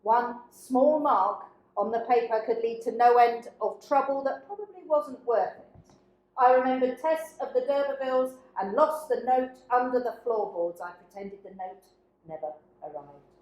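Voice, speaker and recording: A woman giving a deliberate, dramatic spoken-word reading, with emphatic phrase openings that fall away to quieter endings. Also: fairly high pitch. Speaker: dramaturge reading a participant's written piece. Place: echoey indoor workshop room. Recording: echoey room.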